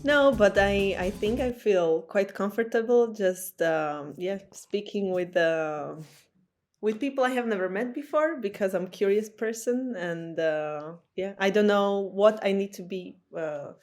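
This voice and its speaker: A woman's voice: medium pitch, a little slow, a little mellow, soft background music. A woman, a podcast guest speaking English hesitantly with frequent fillers. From Slovakia. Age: 20s. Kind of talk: casual interview talk.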